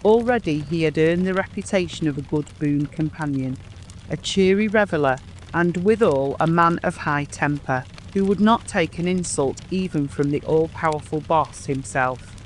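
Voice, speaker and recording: Man, scripted story narration, measured, fairly high pitch, audiobook, background ambience noise.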